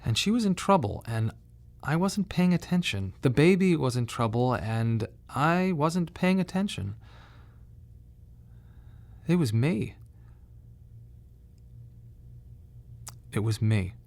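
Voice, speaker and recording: An actor playing a young husband and bereaved father in a radio drama monologue, speaking in a halting, emotionally raw way. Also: fairly high pitch, big pitch swings, a little mellow. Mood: remorseful.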